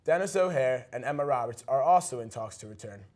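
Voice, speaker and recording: A man's voice: medium pitch, animated, fairly quick, a little mellow. A man co-anchoring a news segment, reading scripted stories with brief casual remarks and occasionally stumbling over a word.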